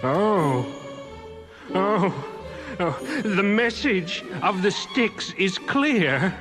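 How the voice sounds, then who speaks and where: A man voicing a nervous court wise man in an animated Bible story, hesitant and evasive as he gives an ominous reading. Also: high-pitched, very slow, over music, full character voice.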